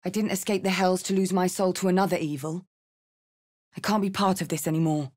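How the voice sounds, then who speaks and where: A woman's voice: lowish voice, steady tone, a little fast, darkish tone, natural voice. An actress voicing a brash, big-hearted tiefling barbarian woman in a video game, blunt and punchy in her delivery. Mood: wary, resolute. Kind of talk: video game character voice lines.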